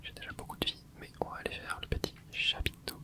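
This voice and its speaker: A man hosting a gaming ASMR channel, talking almost entirely in a breathy whisper. His hushed voice sounds very bright and sharp-edged. He chats through the game and explains things to his viewers, with little sounds as he plays. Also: fast talker. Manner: soft whispering with little interjections while playing. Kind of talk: whispered ASMR gameplay commentary.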